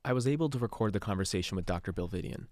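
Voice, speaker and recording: Male investigative radio narrator, very low register, intimate dry sound. Sober, reflective, matter-of-fact. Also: animated, rapid-fire, a little mellow, no echo. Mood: reflective, wry. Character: sober male radio journalist recounting a strange island mystery. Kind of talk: scripted first-person documentary narration.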